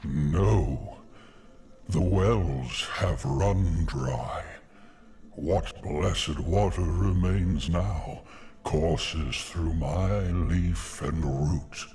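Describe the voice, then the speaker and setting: Ancient tree guardian, extremely slow. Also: male voice, a little mellow. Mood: grave, resigned. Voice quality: gravelly, deep. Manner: slow, solemn, ominous delivery. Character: ancient giant tree guardian spirit, grave and embittered. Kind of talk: scripted video game acting.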